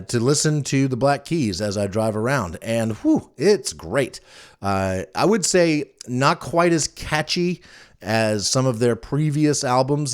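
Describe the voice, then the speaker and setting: Low-voiced man chatting casually. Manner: rambling, conversational. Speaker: podcast host. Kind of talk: casual solo recommendations chat.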